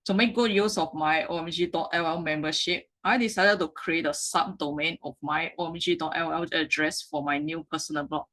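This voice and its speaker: A woman, a Mandarin speaker, giving a slide presentation with frequent halting self-corrections. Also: low voice, steady tone, a bit bright. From Malaysia. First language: Mandarin Chinese. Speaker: meetup speaker.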